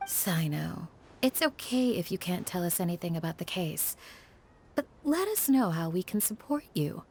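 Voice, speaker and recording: Game casting: a caring female protector, soft dark tone, expressive melody, music underneath. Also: on the low side, a little hiss or hum. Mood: supportive. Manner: earnest, caring, measured advice. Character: gentle, earnest female village guardian. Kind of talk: video game voice acting. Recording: music behind the voice.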